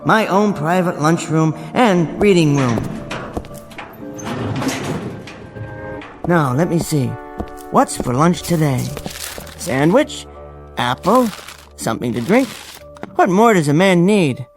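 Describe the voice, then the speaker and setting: An actor playing a meek, bookish bank clerk who talks to himself in an old-time radio drama style. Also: male voice, fairly high pitch, very animated. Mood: contented, cosy. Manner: talks to himself, halting repetitions when shaken. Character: meek bookish male bank clerk, dazed lone survivor. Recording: radio drama with music underneath.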